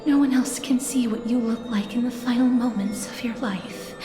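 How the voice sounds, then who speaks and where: An actress playing a gentle, solemn young woman who guides the dying, speaking softly and politely in a video game drama. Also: fairly high pitch, fairly even intonation, lightly acted. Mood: tender, grave. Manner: slow, measured, polite. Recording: echoey, over background music.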